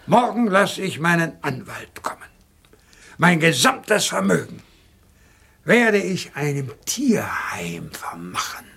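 A wealthy, bitter old tyrant in a radio crime drama. The voice is fairly high and husky, with a heavy gravelly creak. He speaks in a theatrical, commanding way. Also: sharp tone, heavily acted. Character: bitter, imperious rich old man disinheriting his household.

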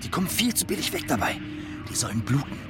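A man's voice: mid-range voice, wildly swooping pitch, fast, over music. A man playing a grumpy, coarse young traveller with slangy speech in a radio drama. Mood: disgruntled. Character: grumpy, sweary, vengeful young male traveller. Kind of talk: naturalistic radio drama dialogue.

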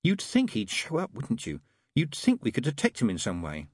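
Storybook angel, played by a man: fussy, proper, earnest, precise, with swooping melodic delivery and a breathy edge. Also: mid-range voice, wildly swooping pitch, normal speed, dry recording, studio quality, voice pushed up. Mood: prim, puzzled.

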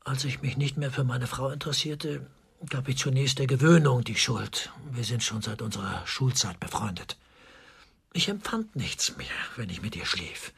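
An actor playing a defensive, tormented army officer under interrogation in a German radio crime drama, with a husky, gravelly voice. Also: male voice, medium pitch, lively intonation, moderate pace, a bit bright, full character voice. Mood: subdued, confessional. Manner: agitated, swinging between indignant outbursts and subdued confession. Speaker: suspect, military governor.

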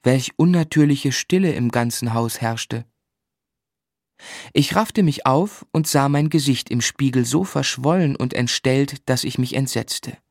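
A male actor narrating a radio play as a grown man looking back on his boyhood, in a measured, reflective storytelling manner. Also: mid-range voice, gravelly, dry recording, clean studio sound.

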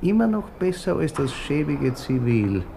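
An actor playing a smug, mocking railway clerk with a colloquial Viennese accent in a radio drama. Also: male voice, on the high side, sing-song, measured pace, creaky, mellow, slight background noise, exaggerated voice. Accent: Viennese Austrian. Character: sneering, smug baker dressed as railway clerk. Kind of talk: 1960s German radio drama acting.

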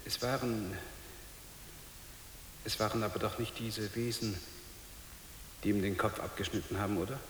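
A man playing a troubled middle-aged father in a radio drama, halting and repeating himself as he clings to hope. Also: fairly low pitch, fairly even intonation, lightly acted. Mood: troubled, uncertain. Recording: hissy, echoey reverberant hall.

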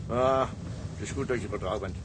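A man's voice: fairly low pitch, brisk, background noise, natural voice. An actor playing a jovial, homely ship's engineer and family man in a radio drama, chatting in a folksy way. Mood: folksy, earnest.

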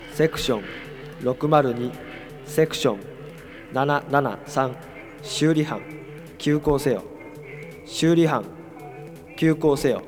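A man playing a tense spaceship crewman who gives clipped, formal reports in an amateur radio drama. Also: on the high side.

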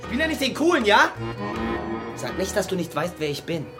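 A man's voice: sits high, sing-song, moderate pace, over music. A man playing a taunting, volatile young killer in a crime radio drama, aggressive and crude. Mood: furious, threatening.

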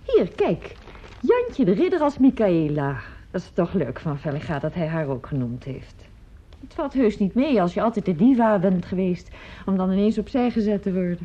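An actress playing a calm, sensible, mildly teasing mother, matter-of-fact and soothing in a radio play. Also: medium pitch, moderate pace. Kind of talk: naturalistic radio play acting. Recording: dull lo-fi radio play recording.

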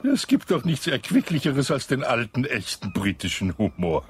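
An actor playing a pompous, slow-witted British colonel in a radio drama, fussy and overly polite. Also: male voice, mid-range voice, very animated, slight background noise, lightly acted. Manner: fussy and polite, with hearty laughs.

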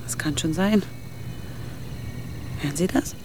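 An actress playing a reluctant, frank woman with a creaky voice and short patience, in a naturalistic radio drama. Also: deep voice, takes it slowly, noisy background. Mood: reluctant, doubtful.

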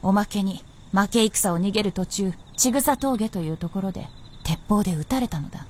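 A woman's voice: medium pitch, normal speed, a little mellow, a little hiss or hum, lightly acted. A woman playing a shrewd, blunt princess with knowing, masculine phrasing in a period radio drama.

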